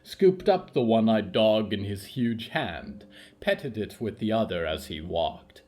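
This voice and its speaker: A man's voice: lowish voice, sing-song, a little slow, darkish tone. A man narrating a scripted fiction story as its storyteller.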